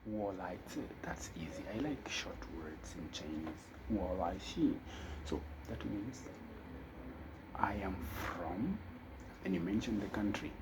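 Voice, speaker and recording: A man teaching a casual spoken lesson, talking slowly and thinking aloud with fillers. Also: sits low, animated, a little mellow, slight background noise, low-quality recording. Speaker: language teacher.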